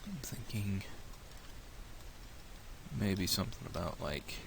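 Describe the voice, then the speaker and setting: Deep gravelly man with a cold. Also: slow pace, noisy background. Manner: relaxed, rambling, thinks aloud with restarts. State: has a cold, slightly nasal. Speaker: tutorial creator. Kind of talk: casual software tutorial.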